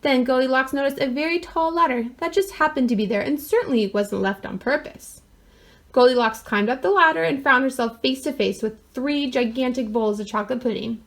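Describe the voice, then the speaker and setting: High-voiced woman reading a children's story. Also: brisk. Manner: wry, mock-innocent asides. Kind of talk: expressive children's picture-book read-aloud.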